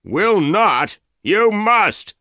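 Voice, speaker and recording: A man voicing a fat, gray-haired, angry male leader who talks loudly and demandingly. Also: medium pitch, a little slow, dry recording, pitched above the performer's own voice. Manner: loud, demanding, questioning.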